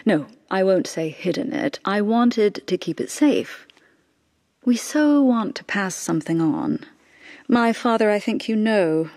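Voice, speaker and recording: A woman's voice: medium pitch, expressive, a little slow, darkish tone. Intense, devout mother in anguish. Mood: wistful, reflective. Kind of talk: radio drama acting.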